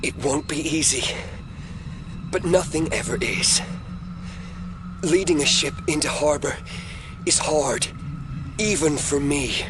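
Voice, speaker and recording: An actor playing a scheming telekinetic young man in an audio drama, speaking in a measured, controlling and ominously earnest way. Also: on the high side, background noise. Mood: firm, determined.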